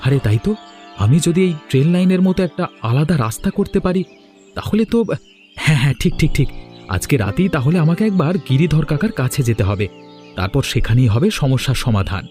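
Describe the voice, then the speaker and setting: A man's voice: fairly high pitch, big pitch swings, a little fast, a little mellow, faint music behind. A man voicing a hard-up, determined village snack seller, earnest and outspoken, in a cartoon. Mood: inspired. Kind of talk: Bengali moral-story cartoon dubbing.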